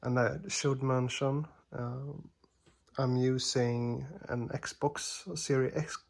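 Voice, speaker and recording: A man talking slowly and carefully in English, with a gravelly, creaky edge to his voice. His pitch stays flat and level as he hesitates and restarts his sentences. He is recorded on a camera mic in a dark living room at home. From Sweden. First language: Swedish. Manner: hesitant, many fillers and restarts, apologetic. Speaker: TV reviewer. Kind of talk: casual product demo narration.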